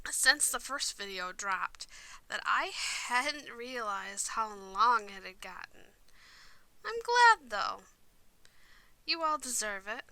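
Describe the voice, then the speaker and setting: A woman's voice: fairly high pitch, pushed and bright, dry recording, low-quality recording. A woman hosting an audio roleplay channel, speaking informally to listeners in an announcement.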